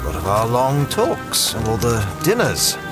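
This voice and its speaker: An actor playing a stubborn, reckless archaeologist adventurer in an audio drama, exasperated and sardonic with old-fashioned exclamations. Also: male voice, medium pitch, huge pitch swings, a little slow. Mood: wry. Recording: loud music behind the voice.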